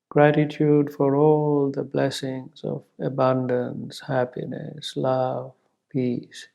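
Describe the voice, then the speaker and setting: A man leading a spiritual guided meditation in a gentle, reverent way, pausing often, in a dull, muffled recording. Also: mid-range voice, gravelly. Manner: gentle, reverent, measured with long pauses and repeated words.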